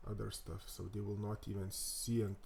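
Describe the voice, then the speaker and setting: A man with a Lithuanian accent hosting a coding tutorial, thinking aloud in a halting way with many fillers and restarts. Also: lowish voice, little pitch movement, gravelly, warm tone, a little hiss or hum. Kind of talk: casual screen-recorded coding walkthrough.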